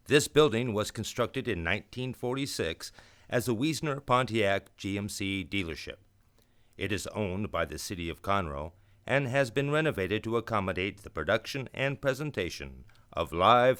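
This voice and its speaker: Male radio announcer, formal scripted read, highly varied pitch, dry sound with faint music. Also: a bit bright, no echo.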